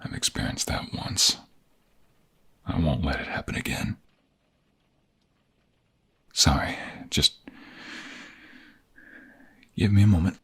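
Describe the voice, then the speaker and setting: Male actor as protective mobster lover, extremely low pitch, gravelly fry, soft halting delivery, intimate ASMR romance roleplay. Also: exaggerated voice. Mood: tender, earnest, then vulnerable, loving. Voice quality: husky, gravelly, breathy low voice. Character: tender, protective young male mobster in love.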